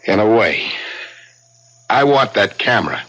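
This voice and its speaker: An actor playing an ambitious, blunt veteran police inspector in a radio drama, his voice rough-edged and insistent. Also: lowish voice, lively intonation, measured pace, a bit bright, rough recording. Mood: bitter, demanding. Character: ambitious, hard-bitten veteran police inspector, gruff and demanding. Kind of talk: 1970s radio drama acting. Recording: slightly rough 1970s radio drama recording.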